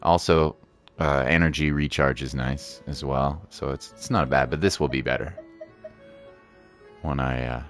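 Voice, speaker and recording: Man, low voice, casual gaming stream host, informal rambling commentary, soft music behind. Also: unhurried. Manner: informal, rambling, thinking aloud, occasional playful exclamations. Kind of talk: casual livestream gameplay commentary, chatting with viewers. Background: game music.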